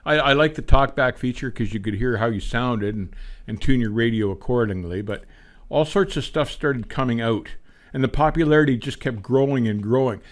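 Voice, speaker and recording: An older man talking casually on a live stream like a veteran trucker reminiscing, in a folksy, unhurried storytelling way. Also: mid-range voice, dry recording. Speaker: livestream host, veteran truck driver.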